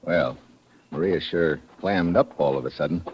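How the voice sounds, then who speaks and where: An actor playing a savvy, informal American agent in an old-time radio detective drama. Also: male voice, sits low, normal speed, lightly acted. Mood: businesslike. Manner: informal, clipped, tough-guy. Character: gruff, savvy American secret agent investigator. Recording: noisy, muffled vintage radio recording.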